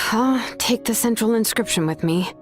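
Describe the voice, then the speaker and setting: A woman voicing a determined, guarded younger artisan in a video game, frank and deliberate in her delivery. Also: on the high side, expressive, fairly bright tone, soft background music. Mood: reluctant, resolute. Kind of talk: dramatic game dialogue. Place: studio voice recording with music behind.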